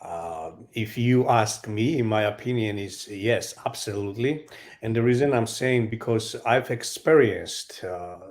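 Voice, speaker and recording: A man from Georgia with a Georgian accent speaking as a panelist, halting but emphatic, often repeating words as he goes. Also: lowish voice, a little mellow. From Georgia (country). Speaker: panelist, artist. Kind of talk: panel discussion, spontaneous talk.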